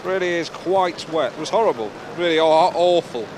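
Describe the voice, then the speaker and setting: A man's voice: a little high, normal speed, close to an everyday voice. A man playing an awkward, floundering TV sports reporter with a stilted, repetitive delivery outdoors. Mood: uncomfortable, floundering. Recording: noisy outdoor wet-weather broadcast.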